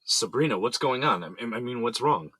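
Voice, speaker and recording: A man's voice: mid-range voice, expressive, normal speed, bright, forceful tone, a little hiss or hum, low-quality recording. An actor playing a husband and father in an audio drama. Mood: alarmed. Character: husband and father, amorous with wife, frantic in crisis.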